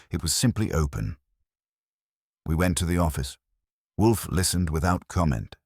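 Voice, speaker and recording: Very deep-voiced man narrating a detective story. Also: breathy edge, no echo, clean studio sound. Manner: wry, first-person storytelling. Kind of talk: scripted audiobook narration of a hardboiled detective story.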